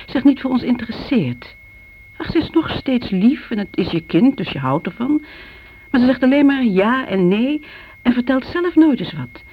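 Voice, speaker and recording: A woman's voice: lowish voice, slight background noise. An actress playing a chatty, self-justifying middle-aged mother in a musing radio play monologue, on a dull old recording.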